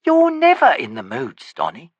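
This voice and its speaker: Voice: mid-range voice, wildly swooping pitch, normal speed, husky, dry recording, muffled recording, voice pushed up. A reader putting on a needling, sarcastic man. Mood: mocking. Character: mocking, teasing man with bushy brows.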